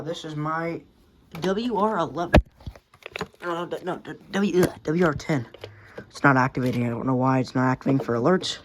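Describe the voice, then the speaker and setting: A man giving a casual, rambling tour of a collection, offhand and mildly profane. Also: fairly high pitch. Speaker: radio collector showing collection. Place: indoors among working radios. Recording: clear background noise with clicks.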